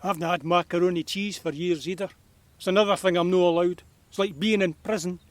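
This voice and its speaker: A man's voice: high voice, fairly even intonation, fairly quick, no room sound, natural voice. An actor playing a grumbling, wry eighty-year-old Scottish man in an audio drama, speaking broad dialect. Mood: wry, complaining.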